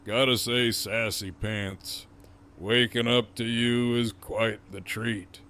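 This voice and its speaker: A man acting a gruff, sardonic, wounded captive in an audio drama, with a gravelly voice and a wry drawl. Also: medium pitch, little pitch movement, sharp tone. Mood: wry, teasing. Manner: slow, wry, teasing drawl.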